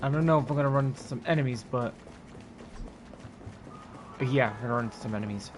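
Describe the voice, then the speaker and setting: A man's voice: mid-range voice, fast talker. A man giving casual gameplay commentary as a streamer, with game music behind his voice.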